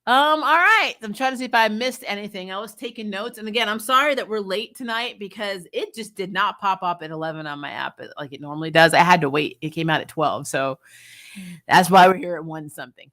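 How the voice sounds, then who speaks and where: Bright-voiced woman, blunt casual reviewer. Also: medium pitch, fairly quick. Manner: animated, blunt, quotes others in acted asides. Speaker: host of a TV episode review show. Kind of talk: casual unscripted review chat with viewer comments.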